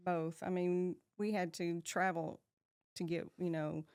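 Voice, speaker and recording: A woman's voice: lowish voice, little pitch movement, a little slow, a little mellow, clear, resonant tone, no echo, studio quality. A woman, chatting as an interview guest, laughing often and trailing off mid-sentence. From Texas, USA. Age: 50s. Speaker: guest, shop owner. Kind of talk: casual interview chat.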